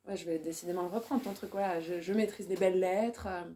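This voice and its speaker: A woman's voice: on the low side, fairly even intonation, fast talker, mellow. A woman, speaking in a casual discussion. She hesitates a lot, restarting phrases as she looks for the right word. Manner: hesitant, searching for words, many restarts. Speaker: poet.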